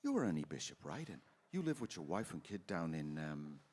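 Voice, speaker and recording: A man's voice: deep voice, sing-song, normal speed, darkish tone. An actor playing a bewildered, exasperated family man in an old-time radio drama, stammering and urgent. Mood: agitated, desperate. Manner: stammering, urgent, swinging from mutters to outbursts.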